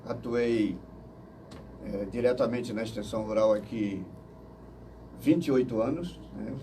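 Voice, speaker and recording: An older man from Manaus, answering interview questions slowly and hesitantly, with many fillers and restarts. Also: medium pitch, dark tone. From Manaus, Brazil. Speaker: interview guest. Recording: distant mic with faint noise.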